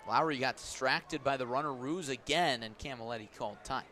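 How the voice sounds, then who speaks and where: A man's voice: fairly quick, pushed and bright. A man doing live radio play-by-play of a baseball game, describing the action and stressing the key moments. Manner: descriptive, lifts and stresses as each pitch comes. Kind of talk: live radio sports commentary. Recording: clear outdoor ambient noise behind voice. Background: outdoor ballpark ambience.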